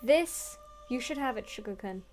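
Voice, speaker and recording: High-voiced young woman reading a game aloud. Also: lively intonation, moderate pace, darkish tone, faint music behind. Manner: reads story text earnestly, breaks into crude swearing jokes.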